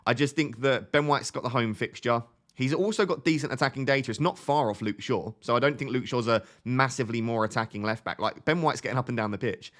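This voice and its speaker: A man's voice: mid-range voice, quick pace, a bit bright. A young man in his twenties hosting a live stream, chatting casually and thinking aloud as he answers viewer questions. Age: 20s. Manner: thinks aloud in a chatty way, often restarting phrases.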